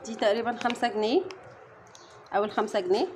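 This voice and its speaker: Young Egyptian woman, home vlog mom, brisk chatty narration to camera, noisy phone audio with wrapper crinkle. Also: on the high side. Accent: Egyptian Arabic. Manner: chatty, addressing viewers directly. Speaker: mother vlogger. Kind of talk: casual running commentary while unwrapping candy. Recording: steady noise with wrapper crinkling.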